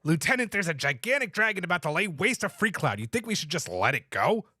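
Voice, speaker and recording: A man playing a brash, cocky starship commander in an animated sci-fi table read, with emphatic, exclamatory delivery. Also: high-pitched, fast talker, slightly rough, no echo, studio quality, exaggerated voice. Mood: incredulous.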